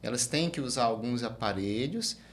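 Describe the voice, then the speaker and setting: A middle-aged man hosting a conversational interview, building long questions in short, measured phrases. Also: medium pitch, lively intonation. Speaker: interview host.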